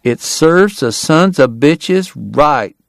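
Man doing a bitter Southern bystander, raised pitch. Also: animated, slow pace, vocal fry, no echo, heavily acted. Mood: bitter scorn. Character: bitter, resentful Southern man in telegraph office.

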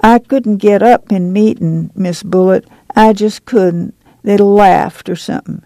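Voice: mid-range voice, measured pace, vocal fry, mellow, no echo, voice pushed up. A woman voicing a meek, flustered, poor rural mother with a whining, apologetic, folksy way of speaking in a story. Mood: whining, timid. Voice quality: whining, bleating.

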